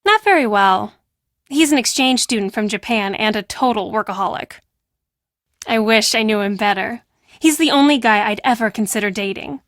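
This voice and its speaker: A woman playing a brash, defensive teenage girl who works as a high school hall monitor in a video game, with a sassy, dismissive and emphatic delivery. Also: normal speed, fairly bright tone, clean studio sound, natural voice. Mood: admiring. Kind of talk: acted video game dialogue.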